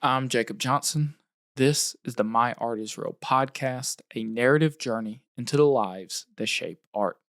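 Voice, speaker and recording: A man hosting a podcast, reading scripted narration in a measured storytelling way. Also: mid-range voice, a bit bright, no room sound, studio quality.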